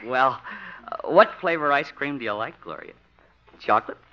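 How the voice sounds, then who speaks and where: A man's voice: mid-range voice, expressive, normal speed, bright, forceful tone. An actor playing a dopey, eager young man in his twenties, lovestruck and artless, in 1950s radio comedy style. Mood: eager, smitten. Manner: hesitant, artless questioning. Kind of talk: 1950s radio sitcom acting. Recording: muffled, noisy 1950s radio recording.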